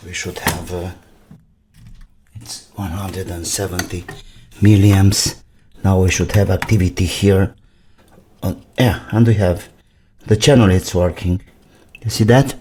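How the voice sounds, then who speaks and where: A man thinking aloud while he repairs electronics, muttering to himself in short fragments with pauses. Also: low-pitched, fairly even intonation, mellow, a little hiss or hum. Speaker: electronics repair video maker.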